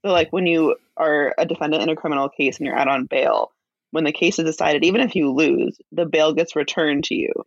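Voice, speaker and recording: A man's voice: fairly high pitch, fairly even intonation, a little fast, dry recording, dull audio. Male guest, defense lawyer, wry and laughing, dry close sound. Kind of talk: casual podcast chat.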